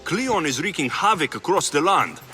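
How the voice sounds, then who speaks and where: An actor playing a firm, no-nonsense young Greek mercenary hero in a video game, blunt and commanding. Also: male voice, on the high side, sing-song, normal speed, music in the background. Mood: dry.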